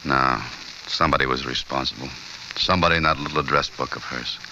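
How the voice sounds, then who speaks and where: Very deep-voiced hard-boiled American newspaperman, hissy recording. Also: fast, muffled recording. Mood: brooding. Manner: terse, wry, pressing questioner. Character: hard-boiled, brooding newspaperman investigating a young woman's death. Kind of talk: 1940s-50s American radio drama acting. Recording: noisy old radio recording, steady hiss.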